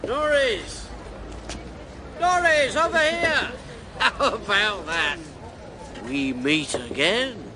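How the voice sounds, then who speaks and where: An actor playing a bitter, hard-drinking army major and politician in a radio drama, sardonic, self-mocking and brash. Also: male voice, high voice, expressive, drawn-out words, pauses often, noisy background, full character voice. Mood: brash, goading.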